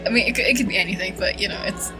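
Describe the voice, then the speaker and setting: A woman's voice: mid-range voice, very fast. A woman doing casual gameplay commentary and reading dialogue aloud, playful and reactive. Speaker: gamer doing a playthrough. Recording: game music behind the voice.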